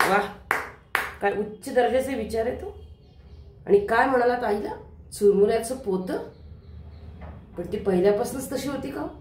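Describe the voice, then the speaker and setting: A woman's voice: a little high, normal speed, long pauses, darkish tone, lightly acted. A woman acting on stage as a young daughter who is coy, sarcastic and reproachful. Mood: sarcastic, indignant. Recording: echoey hall, distant microphone.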